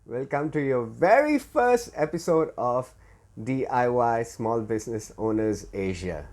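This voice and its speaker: A middle-aged man from Thailand and India hosting an informal show, telling personal stories in a halting way with repeated words. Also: medium pitch, sing-song, darkish tone. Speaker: podcast host. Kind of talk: informal personal storytelling to listeners.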